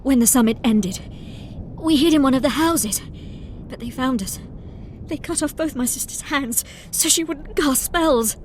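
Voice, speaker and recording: A high-pitched, distraught sorceress speaks with agitated bitterness, a survivor of persecution. It is dramatic fantasy game dialogue with faint background noise. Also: female voice, a little slow.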